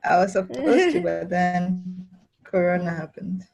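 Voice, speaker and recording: Woman on a muffled dry call line, husky, flat and slow. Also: on the low side, no room sound. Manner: calm, matter-of-fact. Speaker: course participant. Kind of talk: casual video-call chat. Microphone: video call. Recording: dull, dry video-call audio.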